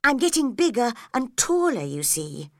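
A woman's voice: on the high side, very animated, normal speed, noisy background, pitched above the performer's own voice. A woman voicing a polite but determined young girl. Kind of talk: storybook character voice.

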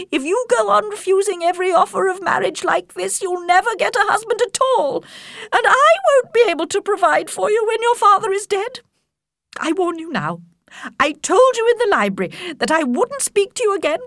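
A woman voicing a shrill, self-pitying middle-aged mother who complains loudly and dramatically. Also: expressive, normal speed, dry recording, studio quality, full character voice. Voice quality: shrill, whining. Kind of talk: audiobook character voice.

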